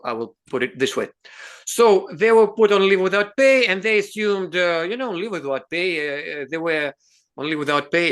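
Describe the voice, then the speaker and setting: A man with a Russian accent, a native Russian speaker, hosting an explanatory talk in English, earnest and halting with frequent fillers and restarts. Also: on the high side, a bit bright. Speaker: host.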